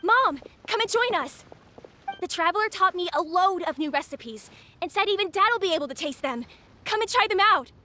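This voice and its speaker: A woman voicing a cheerful young boy who calls out excitedly from a distance. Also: very high-pitched, moderate pace, a little hiss or hum, exaggerated voice. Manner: excited, calling out. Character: cheerful young boy calling his mother.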